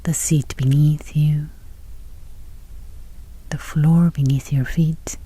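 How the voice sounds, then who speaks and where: Slow, whispery woman guiding meditation. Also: low-pitched, steady tone. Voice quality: soft, breathy, near-whisper. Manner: gentle, unhurried, soothing. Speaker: meditation guide. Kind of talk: guided meditation.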